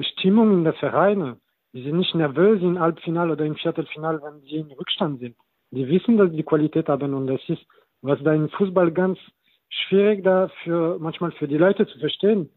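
A man's voice: on the high side, husky, no echo. Non-native man on a tinny line. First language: not German. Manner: hesitant non-native German, many restarts. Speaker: guest, assistant football coach. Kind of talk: casual interview talk. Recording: tinny phone-like line, very dry.

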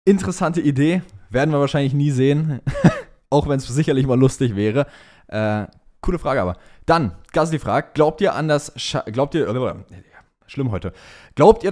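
A man's voice: mid-range voice, animated, moderate pace, a little mellow. A man co-hosting a casual motorsport chat, talking informally with English slang mixed in. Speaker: podcast co-host reading listener questions.

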